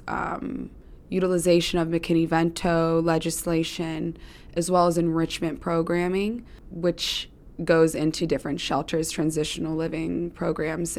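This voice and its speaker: A woman's voice: sits low, gravelly. A young woman, an intern, explaining a youth program in an interview, with frequent ums. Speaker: intern and show coordinator. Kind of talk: interview answers.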